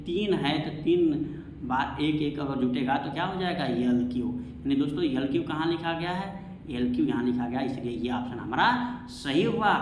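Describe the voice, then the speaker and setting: A man's voice: on the high side, normal speed. Guy doing exam prep science class, keeps asking then answering, roomy echo, bit of background noise. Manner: repetitive, asks and answers own questions. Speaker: science teacher. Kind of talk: exam-prep lesson with rhetorical questions. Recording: echoey room, faint background noise.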